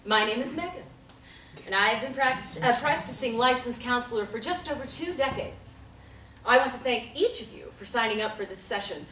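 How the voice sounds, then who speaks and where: An actress reading the part of a calm, formal woman therapist in a table read, measured and polite. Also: a little high. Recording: roomy audio from far off, faint noise.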